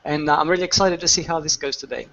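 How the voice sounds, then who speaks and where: A man's voice: on the high side, steady tone, racing, creaky, a bit bright, lo-fi sound. A man, an author and consultant, introducing himself politely on a video call. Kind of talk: casual chat on a video call.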